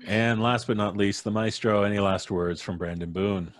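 A man's voice: on the low side, steady tone, a little slow. A man casually hosting a podcast livestream, speaking as the host on a video call. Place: indoor room, remote video call.

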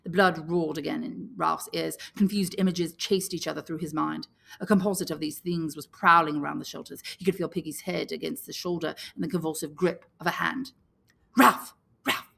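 A woman reading a novel aloud as an audiobook, measured and expressive, like a teacher. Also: sits low, creaky. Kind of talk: audiobook narration.

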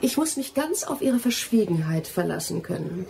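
A woman's voice: lowish voice, very animated, moderate pace, gravelly, a little mellow, a little hiss or hum. An actress in a radio play playing a self-assured young lady botanist, polite, formal and firm. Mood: brisk, determined.

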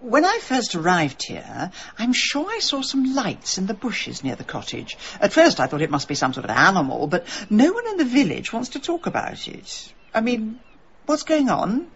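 An actress playing a brisk, inquisitive middle-aged Englishwoman in a radio drama, with an English accent. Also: fairly high pitch, big pitch swings, highs cut off, slight background noise. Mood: curious, indignant.

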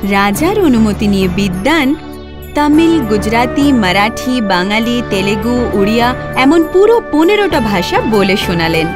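A woman's voice: on the high side, very animated, normal speed. A woman narrating a scripted children's story as a storybook narrator. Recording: clear background music.

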